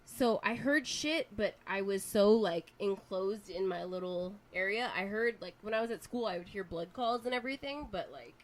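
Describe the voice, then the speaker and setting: A young woman chatting casually on a podcast, relaxed, with fillers and the odd swear. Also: mid-range voice, moderate pace, few pauses, rough recording. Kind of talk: casual chat.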